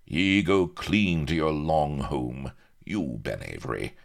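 A man's voice: rumbling low, big pitch swings, takes it slowly, creaky, heavily acted. A man voicing a commanding, menacing pirate chief who declaims in archaic speech, in a storybook reading. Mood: solemn accusation.

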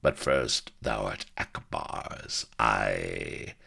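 A villainous storybook pirate, cruel and leering. The voice is extremely deep, slow and gravelly, sliding from snarl to hateful croon. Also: male voice, pushed and bright, full character voice. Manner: growling, crooning, menacing, archaic diction.

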